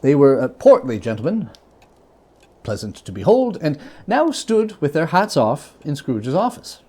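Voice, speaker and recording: A story reader with a mid-pitched voice narrates dramatically. The delivery is animated, with wide swings of pitch through the scripted prose. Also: measured pace, slightly rough.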